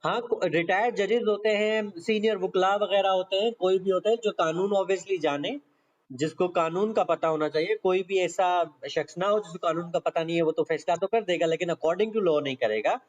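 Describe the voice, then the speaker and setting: A man's voice: sits high, moderate pace. A man with a Pakistani accent teaching law in a conversational exam-prep style, explaining step by step with repetition and frequent check-in tags. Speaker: law lecturer. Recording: dull, lo-fi lecture audio.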